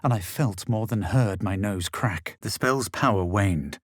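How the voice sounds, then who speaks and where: Husky, haughty fantasy adventurer hero. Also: male voice, on the low side, measured pace, no echo, clean studio sound. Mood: grave, measured. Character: male adventurer hero, self-assured, haughty, brooding spellcaster. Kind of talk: fantasy video game voice lines, combat barks and narrated recollections.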